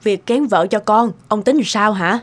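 An actress voicing a composed middle-aged noble matriarch in a cartoon, speaking in a polite, measured, formal way. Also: female voice, mid-range voice, faint music behind, natural voice. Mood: soothing. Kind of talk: Vietnamese cartoon dubbing.

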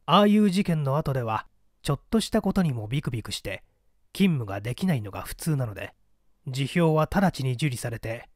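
Expressive male narrator, dry close recording. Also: medium pitch, dry recording. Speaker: audiobook narrator. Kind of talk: dramatic scripted narration of a mystery story.

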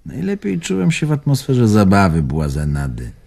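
Radio drama: wounded, evasive old man-about-town, musing and theatrical, husky, very expressive intonation. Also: fairly low pitch, moderate pace, exaggerated voice. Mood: bitter. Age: older. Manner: theatrical, musing, swinging between murmur and outburst. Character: bitter old bon vivant, wounded, evasive former impresario.

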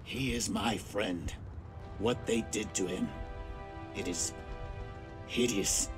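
A man's voice: on the low side, slow. A man playing a loyal, anguished ninja in a video game, speaking earnestly and formally. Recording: echoing, distant, faint music.

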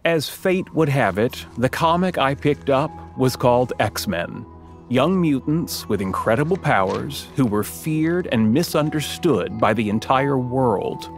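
A man's voice: medium pitch, lively intonation, unhurried. An actor playing an adult narrator looking back on his childhood, with a dry, precise, wry delivery over music. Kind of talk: scripted sitcom voice-over narration. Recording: voice-over with clear music behind.

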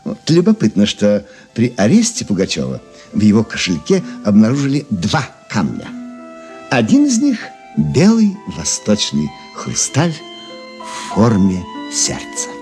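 A man's voice: on the low side, wildly swooping pitch, unhurried, a little mellow, soft background music, heavily acted. An actor playing a learned gem connoisseur who savours his words as he tells legends in a radio drama. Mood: savouring, learned. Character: erudite, expressive male gem connoisseur telling legends.

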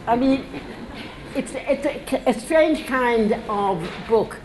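A woman's voice: on the high side, lively intonation, slow, background noise. An older woman speaking at her book launch, chatty and wry, laughing between passages she reads aloud to a crowd in a bookshop. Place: indoor bookshop with a standing crowd. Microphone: stand mic at a lectern.

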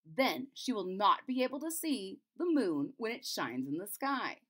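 A woman voicing a thoughtful, confident male royal chamberlain from a storybook, explaining in a measured way. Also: medium pitch, big pitch swings, a bit bright. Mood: pleased.

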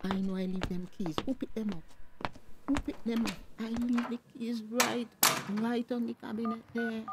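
A woman's voice: fairly high pitch, steady tone, normal speed, close to an everyday voice. A woman playing a worried, suspicious middle-aged Tobagonian mother in a radio drama, agitated and insistent. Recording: clear background noise with clicks.

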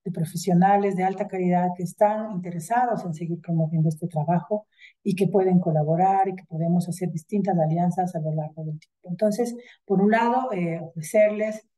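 A middle-aged woman giving closing remarks on a video call, measured and hesitant, with many fillers. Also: on the low side, dark tone, low-quality recording. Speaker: institutional representative. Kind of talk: closing remarks at an online event. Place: indoors with virtual background.

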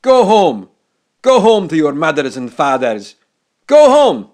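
Casting a shouting old newspaper boss, voice jumps wildly all over, done by the narrator. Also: female voice, low voice, very animated, slow pace, exaggerated voice. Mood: furious. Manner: blustering, shouting. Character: angry older male newspaper tycoon.

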